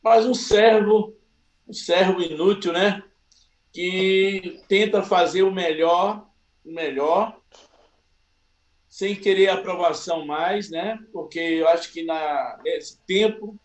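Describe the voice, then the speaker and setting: A man from Salvador, a pastor giving a slow, humble personal testimony. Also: sits high, echoey room. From Salvador, Bahia, Brazil.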